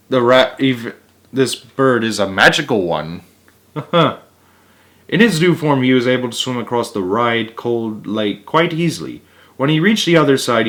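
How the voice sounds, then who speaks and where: A man's voice: medium pitch, a bit bright. Man reading a storybook aloud with chatty asides. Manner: chatty asides and small chuckles between passages; occasional stumbles and restarts. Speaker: storyteller reading a children's book. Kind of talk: read-aloud with casual asides.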